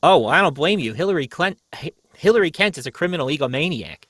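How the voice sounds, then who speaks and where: Guy doing a flustered radio cop boss, sharp bright high voice, stammery and worked up, super dry sound. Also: expressive, fast talker, no room sound. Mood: urgent, flustered. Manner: stammering, exclamatory, urgent. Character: agitated, blustering police inspector, easily flustered. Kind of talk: old-time radio mystery acting.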